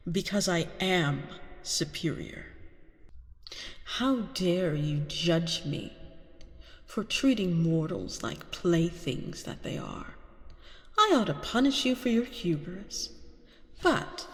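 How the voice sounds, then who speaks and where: Slow, low, haughty vampire queen played by a woman. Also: in a large hall. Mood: haughty, amused. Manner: teasing, gloating, slow and deliberate, often dropping to breathy whispers. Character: haughty, seductive vampire queen hypnotizing her captive hunter. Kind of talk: ASMR roleplay monologue.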